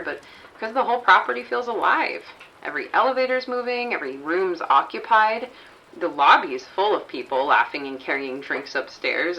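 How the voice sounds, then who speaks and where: Hotel employee, female actor: woman, lowish crisp-edged bright voice, observant and upbeat, natural conversational radio-drama read. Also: slight background noise, full character voice, poor audio. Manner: conversational, descriptive.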